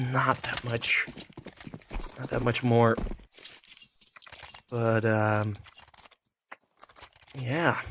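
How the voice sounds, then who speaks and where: A young man whose first language is Dutch, speaking with a Dutch accent as he gives relaxed play-by-play commentary, thinking aloud with many fillers and restarts. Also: medium pitch, extremely slow, long pauses, slight background noise. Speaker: esports caster.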